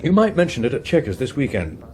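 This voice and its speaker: An actor playing a suave, unflappable veteran chief whip in a radio drama, with an English accent and a smooth, wry, understated manner. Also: male voice, medium pitch, wildly swooping pitch, fast talker. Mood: dry, knowing. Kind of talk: naturalistic radio drama acting.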